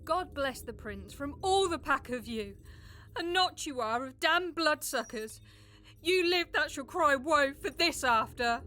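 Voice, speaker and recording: A woman's voice: high-pitched, animated, a little slow, bright, forceful tone, full character voice. A woman playing a bitter condemned noble in a Shakespearean audio drama, declaiming fiercely. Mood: bitter fury.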